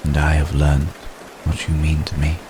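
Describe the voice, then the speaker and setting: A man playing a centuries-old, lovestruck vampire gentleman in an ASMR roleplay, speaking formally and haltingly, apologetic and tender. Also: extremely low pitch. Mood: tender, vulnerable confession. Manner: halting, formal, soft-spoken, deliberate phrasing. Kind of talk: ASMR roleplay, intimate dramatic monologue. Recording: steady rain noise behind the voice. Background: rain.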